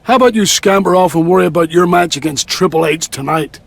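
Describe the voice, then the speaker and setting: A dismissive wrestler in a video game, taunting in a raspy, creaky voice. The sound is dry. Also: male voice, high voice, quick pace, dry recording. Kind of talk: video game cutscene acting.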